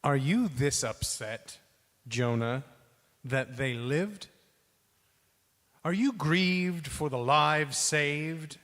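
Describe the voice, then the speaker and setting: Male dramatic reader: weighty, measured spoken word, extremely slow pacing, long hall reverb. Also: a little high, fairly bright tone. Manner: measured, weighty theatrical delivery. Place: large reverberant temple hall.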